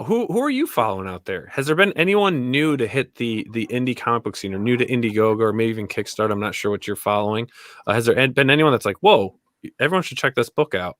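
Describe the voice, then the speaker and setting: A man with a very bright, forward voice hosts a casual livestream. His pitch sits in the middle of the male range, and the sound is dry and close, with little room in it. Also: expressive, a little fast, no room sound. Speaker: host of a comics crowdfunding livestream.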